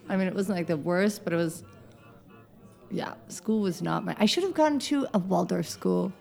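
A woman talks as a podcast guest with a gravelly, fry-tinged voice. She is chatty, laughs a lot and drifts into rambling asides. Faint music plays underneath. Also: lowish voice, a little mellow. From United States (Southern Oregon). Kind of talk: casual chat.

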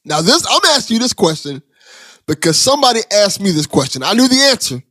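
A male podcast host with a fairly high voice and very animated intonation. He chats casually and teases with affection, in a close, dry recording. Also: quick pace, no room sound. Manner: bantering, affectionate with guest. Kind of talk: casual interview chat.

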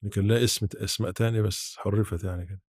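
A man in his seventies with an Egyptian accent, reminiscing in an interview in a halting way with repeated false starts. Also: on the low side, steady tone, a little mellow, slightly rough, no room sound, clean studio sound. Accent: rural-born Egyptian Arabic. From Sharqia, Egypt. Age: 70s. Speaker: podcast guest, academic.

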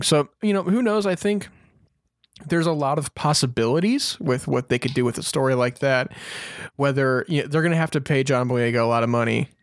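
A man's voice: medium pitch, dry recording, studio quality. A man co-hosting a podcast, chatting casually through news as an enthusiastic fan. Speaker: podcast co-host.

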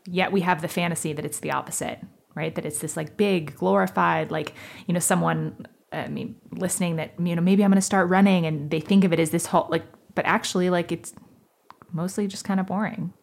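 A woman with a fairly low voice and pronounced vocal fry chats as a podcast interviewer. Her style is casual and affirming, with "like" scattered through her sentences. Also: steady tone, brisk. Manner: chatty, affirming, heavy use of "like".

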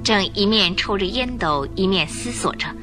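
Lady narrating a radio play, really expressive, swoopy voice, music playing behind. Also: mid-range voice, normal speed. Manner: expressive storytelling.

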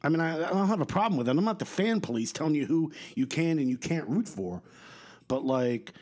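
A man hosting his own podcast, talking in a blunt, profane, rambling way, his voice a little stuffed up and slightly coughy. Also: fairly high pitch. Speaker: podcast host. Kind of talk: casual solo podcast rant.

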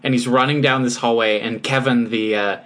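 A man co-hosting a podcast in casual chat, easygoing and halting, with frequent "um"s. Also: mid-range voice, fairly even intonation, fairly bright tone. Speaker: podcast co-host.

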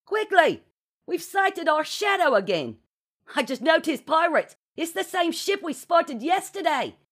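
Storybook cabin lad, voiced by a woman: very high, anxious, whining, deferential, urgent projection. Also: sing-song, measured pace, a bit bright, heavily acted. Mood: alarmed. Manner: urgent, whiny, calling out. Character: anxious, whining, deferential young male ship's crewman.

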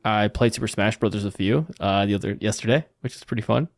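Brief: easygoing male podcast co-host, lowish voice, dry close mic, joking manner. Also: fast, vocal fry, no echo. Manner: teasing, laid-back, joking. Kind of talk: casual gaming podcast chat.

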